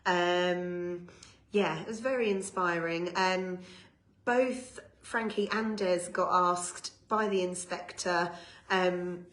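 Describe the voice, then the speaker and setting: A woman's voice: on the low side, steady tone, slow, a bit bright. A woman, co-hosting a casual live-stream recap with a wry, chatty manner. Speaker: campaign co-host. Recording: echoey room, faint hiss.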